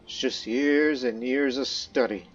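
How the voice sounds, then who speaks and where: A man's voice: mid-range voice, steady tone, a little slow, dull audio, a little hiss or hum. Rambling man narrating a strategy game. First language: not Croatian; studied Croatian as a second language. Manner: rambling, many fillers and restarts, speaks in character as his ruler. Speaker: let's play host. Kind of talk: casual gameplay commentary. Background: faint game music.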